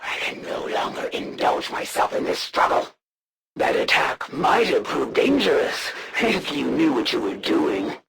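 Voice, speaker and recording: A woman voicing a proud, honor-bound alien female assassin for a video game, speaking in a formal, stately way with a gravelly, creaky edge. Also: sits low, very animated, background noise, full character voice. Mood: disdainful. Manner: formal, archaic, stately and deliberate. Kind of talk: video game villain voice lines.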